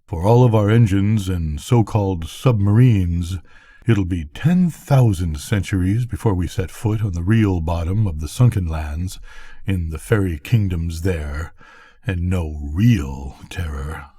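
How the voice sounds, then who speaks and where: A man's voice: deep voice, measured pace, warm tone, slightly rough, no room sound, clean studio sound, voice pushed down. A man voicing a musing, folksy, lonely lighthouse keeper in a bedtime storybook reading. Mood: solemn, awed. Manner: thoughtful, folksy.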